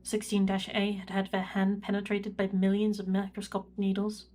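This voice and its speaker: A woman's voice: medium pitch, monotone, mellow, natural voice. A woman playing a reserved imperial records prefect, dictating a log in a formal, precise manner for a sci-fi audio drama. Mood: clinical, measured. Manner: formal, measured, clinical.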